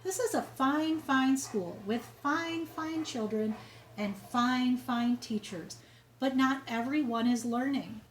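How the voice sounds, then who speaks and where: A woman voicing a proud, earnest, excitable male school principal in an emphatic children's storybook read-aloud. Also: high-pitched, takes it slowly, a little hiss or hum, rough recording. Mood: earnest, announcing. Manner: emphatic, repetitive, exclaiming.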